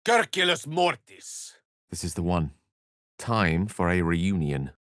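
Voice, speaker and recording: A bookish British wizard from a fantasy video game. His voice sits fairly low but moves with very lively intonation, in a close, dry recording. He is articulate and theatrical, with a wry, verbose turn of phrase. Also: takes it slowly, no echo, heavily acted. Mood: incanting, resolute. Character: eloquent, wry, bookish male human wizard.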